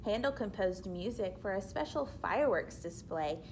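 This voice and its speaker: A woman reading a children's book aloud expressively, pausing to explain things simply like a teacher. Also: mid-range voice, expressive, background noise. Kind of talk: read-aloud storytelling with explanatory asides for children.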